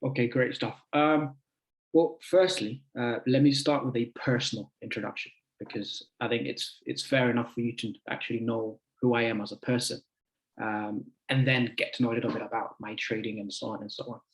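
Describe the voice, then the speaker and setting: Guest speaker, 20s male, easygoing, halting delivery, middle pitch. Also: steady tone, low-quality recording. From United Kingdom. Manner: friendly, chatty, halting with many fillers. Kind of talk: casual talk to an online group.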